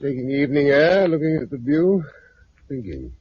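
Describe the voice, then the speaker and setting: A man's voice: mid-range voice, sing-song, normal speed, breathy edge. A man with a British accent playing a thoughtful, weary biologist and family man in a 1950s radio drama. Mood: reflective, resolved. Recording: muffled 1950s radio recording.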